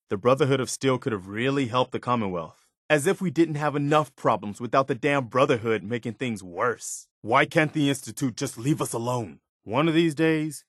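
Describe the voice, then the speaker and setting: An actor playing an earnest, idealistic militia soldier in a post-apocalyptic video game, speaking in a sincere, plain-spoken, measured way. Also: male voice, medium pitch, fairly bright tone, dry recording, studio quality. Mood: bitter, frustrated. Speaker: video game companion character. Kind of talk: video game voice acting, naturalistic dialogue.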